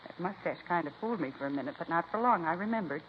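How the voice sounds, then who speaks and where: A woman's voice: on the low side, expressive, very fast, close to an everyday voice. An American woman playing a chatty, self-assured supermarket cashier in a 1950s radio drama, folksy and emphatic, repeating herself. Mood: eager, certain. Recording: muffled, hissy 1950s radio recording.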